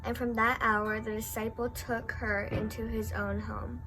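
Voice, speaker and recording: A woman's voice: on the high side, flat delivery, unhurried, background noise. A woman reading a scripture narrative aloud as a lay reader.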